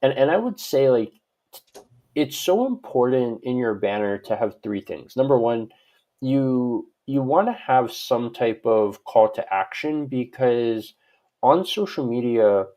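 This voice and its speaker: A man's voice: medium pitch, expressive, unhurried, dark tone. A man from Hawaii answering conversationally as an expert guest in an interview, rambling and often adding "like" and "right?". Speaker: guest expert on social media profiles.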